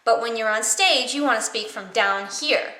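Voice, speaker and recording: A young woman with a nasal Wisconsin accent teaching acting, enunciating clearly and animatedly, recorded on a camera mic in an echoey room. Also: fairly high pitch, moderate pace, pushed and bright. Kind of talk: enthusiastic how-to vlog. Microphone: camera mic across the room. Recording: roomy echo from far camera.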